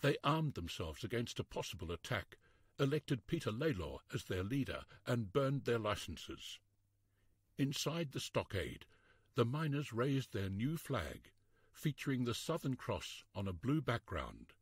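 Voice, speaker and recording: A man reading a history storybook aloud in a measured, expressive, scripted narration style. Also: medium pitch, slightly rough, no echo, clean studio sound.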